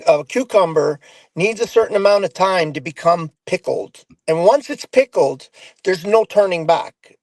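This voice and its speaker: A man in his sixties hosts a panel and talks earnestly, leaning on stories and analogies. His voice is fairly high for a man, and the recording is dry with no room sound. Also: no room sound. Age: 60s. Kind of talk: casual panel talk.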